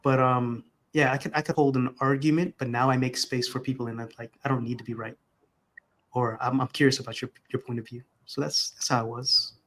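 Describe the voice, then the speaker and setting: A man's voice: mid-range voice, level tone, fairly quick, a bit bright. Reflective man talking on a podcast. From America. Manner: reflective, conversational, frequent restarts. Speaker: podcast guest. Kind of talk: casual podcast conversation.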